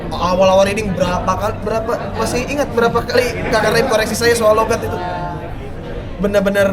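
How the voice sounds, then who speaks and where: A man's voice: high voice, steady tone, fast. A young man from Sulawesi with a Makassar accent, an actor answering press questions earnestly with many fillers. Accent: Makassar Indonesian. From Sulawesi, Indonesia. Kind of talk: doorstop press interview answers. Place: crowded indoor press event. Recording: crowd noise around.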